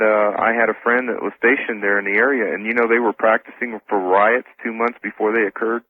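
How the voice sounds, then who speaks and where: A man answering casually as a guest in a radio interview. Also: on the low side. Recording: tinny narrow phone line.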